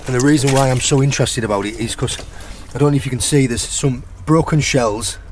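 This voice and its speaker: A hoarse, croaky middle-aged man talks casually while foraging outdoors on a rainy rocky shore. His pitch sits in a middle range, and he keeps up a chatty flow. A faint hiss of noise runs under the voice. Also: a little fast. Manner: chatty, points things out as he goes. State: hoarse, croaky sore throat. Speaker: channel host and foraging guide.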